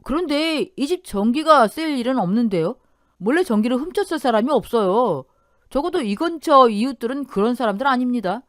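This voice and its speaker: A woman's voice: fairly high pitch, animated, brisk, dry recording, studio quality, lightly acted. A woman reading a nervous, evasive real estate broker. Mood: uneasy. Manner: hesitant, evasive.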